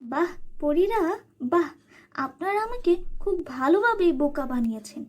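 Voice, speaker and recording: An earnest young village girl from a cartoon, played by a woman, with a high-pitched voice. She is trusting and polite, and speaks with simple eagerness. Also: animated, moderate pace. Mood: upset. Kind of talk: children's cartoon voice acting.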